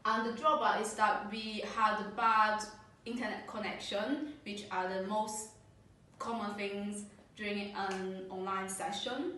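Young woman, careful halting delivery, mid voice, far from the camera. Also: mid-range voice, fairly even intonation, sharp tone, a little hiss or hum. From Brazil. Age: young adult. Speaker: student presenter. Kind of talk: class presentation. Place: classroom with a large screen. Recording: echoey room, camera far off.

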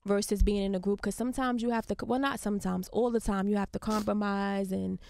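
A young woman from Harlem with a soft voice, chatting casually as a guest in a radio studio and laughing often. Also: medium pitch, fairly even intonation, fairly quick, gravelly, dry recording. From Harlem, New York. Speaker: guest artist. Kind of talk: casual interview chat. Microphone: studio mic on boom arm.

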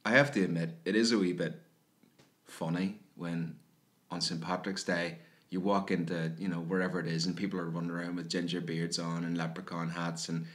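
A man's voice: deep voice, fairly quick. A man with a Belfast accent, a guest joking and telling stories in casual podcast chat. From Northern Ireland. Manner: animated, joking storyteller.

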